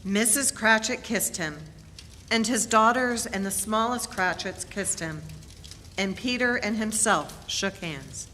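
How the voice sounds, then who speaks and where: Woman narrator, bright forceful voice, slow expressive storytelling, long hall reverb. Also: medium pitch. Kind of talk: dramatic scripted narration. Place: church, staged reading. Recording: reverberant hall, faint background hum.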